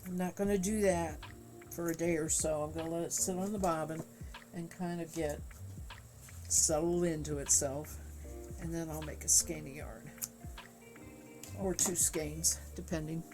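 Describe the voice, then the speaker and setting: A woman streaming live while she spins yarn, chatting casually with viewers in a soft, calming voice and laughing easily. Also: low voice, a little slow, pauses often, over music. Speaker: livestream host spinning yarn. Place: home crafting room with spinning wheel.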